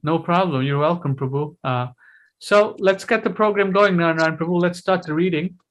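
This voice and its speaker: A man's voice: a little high, a little fast, low-quality recording. A man hosting a casual video-call discussion, chatty and full of questions and fillers. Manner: chatty, asks lots of questions, many fillers. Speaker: host.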